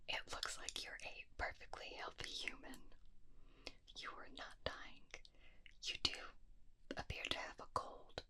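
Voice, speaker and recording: A woman doing a whispered ASMR roleplay as a clinic examiner, with a soft, breathy voice and gentle, conversational bedside manner, close to a binaural microphone.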